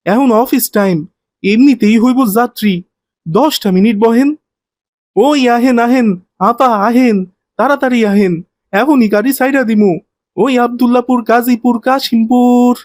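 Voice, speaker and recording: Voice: mid-range voice, normal speed, a little mellow, lightly acted. An actor playing a hardworking, aggrieved ten-year-old boy minibus helper in a cartoon, with colloquial Bangladeshi speech and a plain-spoken, complaining manner. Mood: calling out, urging. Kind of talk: cartoon voice acting.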